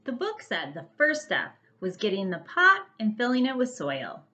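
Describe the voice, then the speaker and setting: A woman who teaches first grade reads a picture book to young children in an animated, emphatic storytelling manner. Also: on the high side, normal speed, dull audio.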